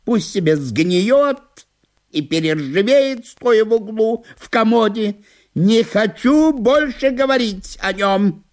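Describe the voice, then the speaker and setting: An actor playing a prim, stubborn, easily offended provincial gentleman in a theatrical comic audio monologue, with a drawn-out, unctuous delivery. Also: high-pitched, expressive, voice pushed up. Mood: coaxing.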